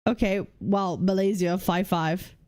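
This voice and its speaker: A young woman hosting a casual livestream, reading chat and lists aloud, blunt and swearing freely as she thinks out loud. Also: fairly low pitch, fairly even intonation, a little slow. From United Kingdom. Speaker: livestream host.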